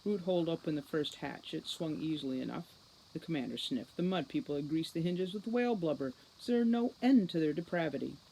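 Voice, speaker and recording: A woman giving an expressive scripted narration of a story as an audiobook reader. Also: low voice, fairly quick, creaky, a little hiss or hum, low-quality recording.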